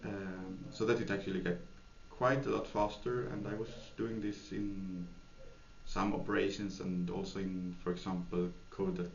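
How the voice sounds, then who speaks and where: Gravelly man, echoey room. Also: low voice, level tone, normal speed. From Sweden. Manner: hesitant, many fillers and restarts. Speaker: software developer, interviewee. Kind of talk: thoughtful interview answers. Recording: echoey room, dull lo-fi sound, faint noise.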